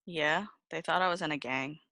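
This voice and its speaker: Woman, casual podcast talk, bright forceful voice, close dry sound, profane exclamatory storytelling. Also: low-pitched, moderate pace, no echo. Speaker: podcast co-host. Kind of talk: casual group chat.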